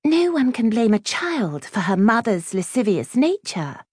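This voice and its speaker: A haughty schoolgirl of high birth, acted by a woman narrator, speaks in a raised, swooping voice. She is prim, spiteful and cutting, in storybook style. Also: very animated, a little slow, a little mellow, breathy edge, no echo, clean studio sound, full character voice. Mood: coldly superior. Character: haughty, spiteful young lady of quality, a schoolgirl.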